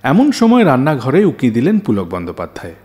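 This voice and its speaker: A man's voice: mid-range voice, huge pitch swings, a little fast, darkish tone. A man hosting a radio show, telling scripted stories in a dramatic way and putting questions to listeners.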